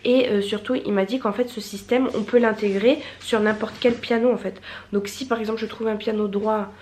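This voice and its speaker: Femme enrhumée qui papote, nez bouché. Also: female voice, medium pitch, fairly even intonation, brisk, darkish tone, slight background noise. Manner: rambling, many fillers and restarts. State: stuffy nose from a cold. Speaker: vlogger. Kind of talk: casual vlog chat to camera.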